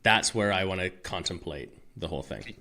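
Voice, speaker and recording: A man talking casually on a podcast, animated and rambling, with restarts and the odd swear. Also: low voice, moderate pace. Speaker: podcast guest. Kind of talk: casual conversation.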